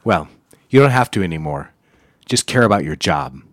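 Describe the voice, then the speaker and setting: A cold executive in an audio drama. His voice is low and recorded dry, with a formal, curt delivery. He talks down to people with stern condescension. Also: expressive, moderate pace, no room sound. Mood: cold, scornful. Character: cold, stern advertising executive, cruel boss.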